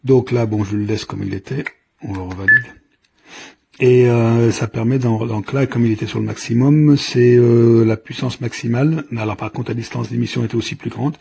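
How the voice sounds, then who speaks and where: A man's voice: medium pitch, fairly even intonation, normal speed, gravelly, a little mellow, slight background noise. A man giving an informal hands-on product demonstration, explaining in a hesitant, improvised way as he goes. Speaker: product reviewer.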